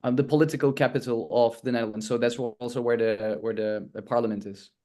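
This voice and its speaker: A man's voice: medium pitch, fairly even intonation, quick pace, dark tone. A man from Turkey, a native Turkish speaker, talking casually as an interview guest.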